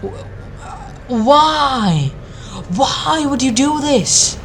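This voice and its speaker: A woman's voice: high-pitched, expressive, very slow. A woman giving an informal, sweary video rant as a reviewer, in a blunt and sarcastic manner. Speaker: anime review video maker. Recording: music behind the voice. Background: background music.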